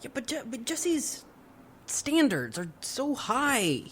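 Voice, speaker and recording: A very animated young college student in an audio drama. He is goofy and mischievous, with pitch that swings widely as he jokes and protests. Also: slow, slight background noise, heavily acted. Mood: resigned, wry. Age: young adult. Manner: joking, theatrically protesting. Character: goofy, mischievous male college student, jokey and easily indignant.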